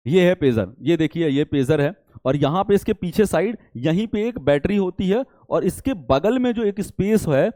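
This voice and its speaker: Man, teacher, explanatory lesson, didactic, rhetorical questions, mellow timbre, dry close sound. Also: high voice, lively intonation, normal speed, hardly any pauses, no room sound. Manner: didactic, frequent check-in tags, rhetorical questions. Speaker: teacher for competitive exam students. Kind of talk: explanatory current affairs lesson.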